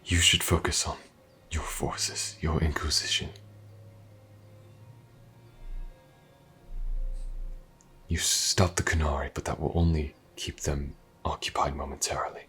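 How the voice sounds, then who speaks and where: A man acts out a grave, ancient mage bound by duty, in a fantasy audio drama. His voice is extremely deep and often sinks to a breathy half-whisper. He speaks slowly and tenderly, full of sorrow, over a bed of music. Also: flat delivery, pushed and bright. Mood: grave, urgent. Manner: solemn, measured, tender. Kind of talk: intimate fantasy audio roleplay acting. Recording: music behind the voice.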